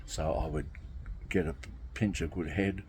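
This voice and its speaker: A man's voice: low voice, steady tone, measured pace, noisy background. A man, talking casually to camera from outdoors by a garden, rambling and repeating himself. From Australia. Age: 60s. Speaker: vlogger.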